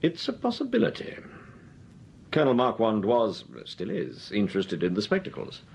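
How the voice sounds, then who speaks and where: A cool, ironic amateur detective in a vintage radio play. His voice has a husky edge and rises and falls a lot as he talks. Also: fairly low pitch, huge pitch swings, normal speed, full character voice. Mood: dry. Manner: dry, ironic. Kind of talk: 1940s radio acting.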